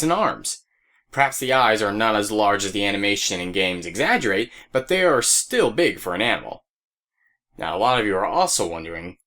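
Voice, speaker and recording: Animated male storyteller. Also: mid-range voice. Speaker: narrator. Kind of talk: storytelling narration with casual asides to listeners.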